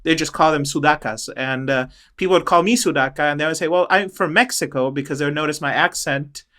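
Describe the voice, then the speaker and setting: A middle-aged man speaking as an interview guest, thoughtful and halting, with many restarts and fillers. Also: a little high, sharp tone. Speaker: interview guest, choral director. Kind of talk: reflective interview talk.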